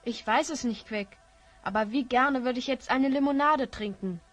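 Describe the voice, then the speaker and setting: A woman's voice: high-pitched, moderate pace, soft background music, lightly acted. A woman playing an earnest young boy hero stranded in a fantasy world, in a children's audio drama. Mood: friendly, tired.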